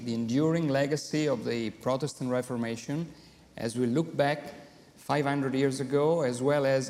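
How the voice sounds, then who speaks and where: A man with an Italian accent, a non-native English speaker, giving a conference lecture, pausing often with "uh" and "um". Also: mid-range voice, darkish tone. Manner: frequent hesitations and fillers. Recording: long reverb of a hall.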